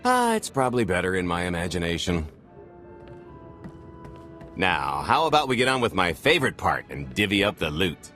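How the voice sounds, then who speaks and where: An actor playing a cocky, wisecracking space smuggler in a video game cutscene, breezy and sardonic. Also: male voice, deep voice, wildly swooping pitch, normal speed, long pauses, sharp tone, soft background music, full character voice. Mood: breezy, amused. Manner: breezy, sardonic, charming swagger.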